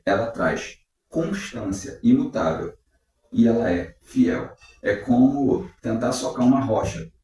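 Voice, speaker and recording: Mellow man in an echoey room. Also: mid-range voice, lively intonation. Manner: measured, uses rhetorical questions. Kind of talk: reflective devotional talk. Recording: very echoey room, distant mic.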